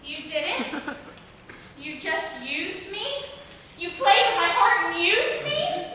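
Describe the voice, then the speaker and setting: A woman's voice: very high voice, sing-song, slow pace, bright, forceful tone, exaggerated voice. A woman playing a dramatic, sarcastic young daughter in an amateur comedy stage play, with melodramatic delivery. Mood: hurt. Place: indoor performance room, mic far off. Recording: echoey hall, distant mic, clear noise.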